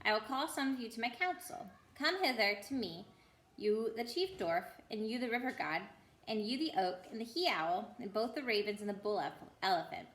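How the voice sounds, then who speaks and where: A woman reads as a strong, kindly lion king from a storybook, solemn and measured in delivery. The voice is bright and carries a clear room echo. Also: on the high side, expressive. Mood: grave, commanding. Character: majestic, strong, happy male lion, gravely kind ruler.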